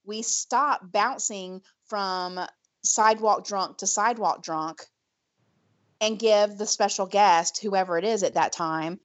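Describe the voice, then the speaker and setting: Chatty Southern podcast host who laughs often. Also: female voice, mid-range voice, steady tone, slow, dull audio. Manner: laughs easily, chatty with fillers. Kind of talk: casual chat.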